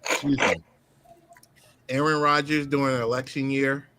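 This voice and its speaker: A man on a casual fantasy football chat, excitable and joking. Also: medium pitch, moderate pace, heavy background noise. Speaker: panelist on fantasy football show.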